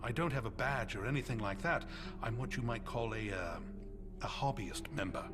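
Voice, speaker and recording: Game role, male actor as eccentric preacher and hobbyist conspiracy nut; mid-range voice, grandly pulpit-like yet hesitant, clear music bed underneath. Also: mid-range voice, animated, close to an everyday voice. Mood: sheepishly proud. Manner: sermonizing, florid phrasing with halting hesitations. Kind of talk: video game cutscene monologue. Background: background music.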